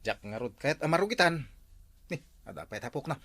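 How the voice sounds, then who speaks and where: A man's voice: mid-range voice, expressive, fairly quick, fairly bright tone. A man with an Ilocano accent playing a boastful, fussy car owner in a village comedy drama.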